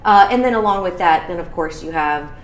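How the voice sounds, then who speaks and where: Lower-voiced chatty woman in a roomy space. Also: expressive, fairly quick. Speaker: show host. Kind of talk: casual promotional chat. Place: small bar room, indoors. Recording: roomy echo, some room noise.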